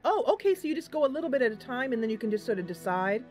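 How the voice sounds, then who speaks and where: A woman's voice: fairly high pitch, sing-song, very fast, darkish tone, soft background music. A woman streaming a game live, chatting casually and affectionately with her viewers.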